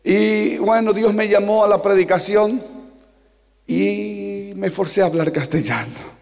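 Paraguayan pastor preaching in a hall. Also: male voice, high-pitched, creaky. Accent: Paraguayan Spanish. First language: Guarani. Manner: impassioned, rising to shouts, slows for emphasis. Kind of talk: sermon. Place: large church hall on a stage. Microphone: handheld mic. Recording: long reverb of a large hall.

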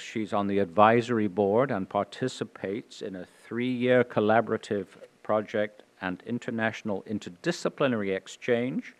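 An older man at an auditorium podium reads out a speaker's credits in a low, measured voice. His tone is formal and unhurried as he introduces the lecture. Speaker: event host introducing the speaker. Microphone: podium microphone.

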